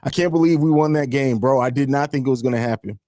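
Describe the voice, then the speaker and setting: A hoarse man with a raspy voice hosting a live fan stream, talking emphatically and repeating himself, with casual swearing. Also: mid-range voice, quick pace, dark tone, no room sound, clean studio sound. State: hoarse from screaming. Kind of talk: excited sports fan stream chat.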